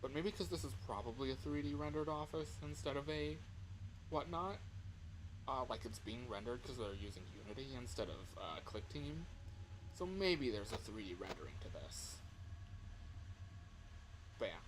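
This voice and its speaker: A man's voice: medium pitch, lively intonation, normal speed, long pauses, background noise. A man doing casual, slangy gaming commentary as a livestreamer, with exasperated exclamations. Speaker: livestreamer playing a horror game.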